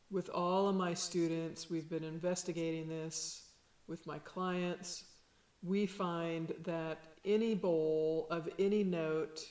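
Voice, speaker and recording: A woman with a low voice, a sound healer giving a gentle, unhurried lesson. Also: echoey room. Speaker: shamanic sound healer teaching. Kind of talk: slow calm instructional talk.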